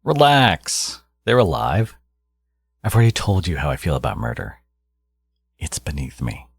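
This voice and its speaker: A man playing a smug, possessive supervillain genius in an intimate audio roleplay, taunting his hero in a theatrical, teasing drawl with a gravelly, husky voice. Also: a bass voice, huge pitch swings, unhurried, no echo, heavily acted.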